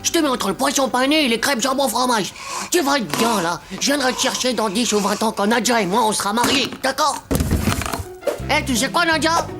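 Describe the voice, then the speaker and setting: A woman voicing an excitable, naive schoolboy in a cartoon, exclaiming in childish slang. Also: fairly high pitch, a little fast, over music, natural voice. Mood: tender, boastful. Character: excitable naive schoolboy, dramatic little dreamer. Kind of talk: animated cartoon acting.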